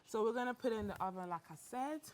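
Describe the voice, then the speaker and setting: A woman's voice: fairly high pitch, animated, fast, slight background noise. A young woman in her twenties demonstrating a simple recipe on a studio show, chatty and encouraging. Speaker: guest cook. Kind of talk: cooking demonstration.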